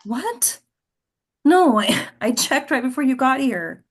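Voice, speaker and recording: A woman playing a warm, wry mother in a naturalistic table read of a play, conversational and unhurried. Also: sits high, sing-song, heavily acted. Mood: worried, tender. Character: warm, wry mother who cherishes her wrinkles.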